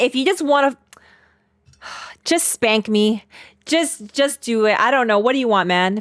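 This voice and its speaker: A woman's voice: mid-range voice, smooth, dry recording, clean studio sound. A woman streaming her casual, slangy and playful reactions to a game, sometimes reading its text aloud.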